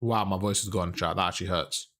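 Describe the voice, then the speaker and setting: A man's voice: low-pitched, steady tone, quick pace, fairly bright tone. A young man coaching players and chatting with his live-stream audience in a casual, repetitive way, his voice strained from talking. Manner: chatty, repeats phrases, addresses chat as bro.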